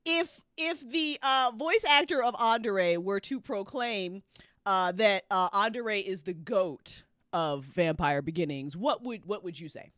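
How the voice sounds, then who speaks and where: Bright, animated woman hosting a casual chat. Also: medium pitch, slow, no echo, studio quality. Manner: excitable, playful, repeats herself. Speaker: host. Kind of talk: casual after-show chat.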